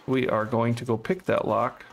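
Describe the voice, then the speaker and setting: A man's voice: mid-range voice, flat delivery, normal speed, creaky. A man casually commenting on a co-op game as he plays, thinking aloud and unsure as he works through a puzzle. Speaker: co-op game let's player.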